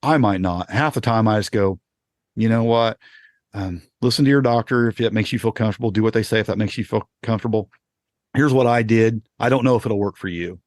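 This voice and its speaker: A man's voice: lowish voice, fairly quick, no room sound, studio quality. A man hosting a podcast in casual chat, rambling and correcting himself, laughing often. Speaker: podcast host.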